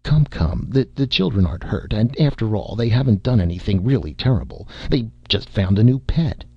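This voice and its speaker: A man reading aloud, voicing a stern industrialist who speaks in a brisk, commanding way, in a slightly muffled dry recording. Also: fairly low pitch, lively intonation, husky, no echo, pitched above the performer's own voice. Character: stern, flustered male industrialist.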